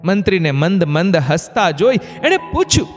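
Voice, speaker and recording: Guy narrating a moral tale, really lively intonation, big reverb and music behind him. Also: high voice, moderate pace. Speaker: narrator of motivational stories. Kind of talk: scripted moral storytelling. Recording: long hall reverb, music bed. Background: background music.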